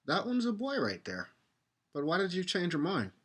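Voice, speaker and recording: A man playing a kindly, easygoing father in an audio drama, patient and gently questioning. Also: high voice, huge pitch swings, moderate pace, husky, heavily acted.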